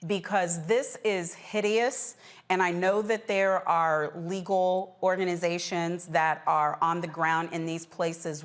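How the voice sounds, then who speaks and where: A woman hosting a news programme, speaking in a deliberate, emphatic way as she reports and puts questions to panelists. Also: fairly low pitch. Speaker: news show host.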